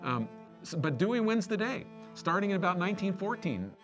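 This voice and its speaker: A man's voice: sits high, sing-song, brisk. A man giving emphatic, analytical commentary in a documentary interview. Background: background music.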